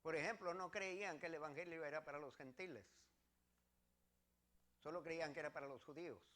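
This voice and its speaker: Higher-pitched Mexican man preaching. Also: brisk, a bit bright. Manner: emphatic, repeats phrases, explains step by step. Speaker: pastor. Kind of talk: sermon, teaching from scripture to a congregation.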